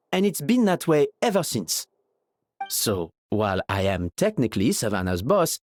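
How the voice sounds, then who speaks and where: A man playing a polite, diplomatic hotel boss in a video game, speaking in a formal, measured manner. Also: mid-range voice, darkish tone, dry recording. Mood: apologetic. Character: courteous, diplomatic male hotel boss, mild and apologetic.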